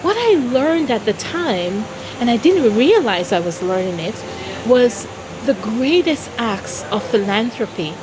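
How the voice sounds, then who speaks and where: A woman from Jamaica telling personal stories as an interview guest, in a deliberate, expressive way. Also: fairly high pitch, a crowd in the background, rough recording. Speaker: interview guest, founder of a philanthropy organization.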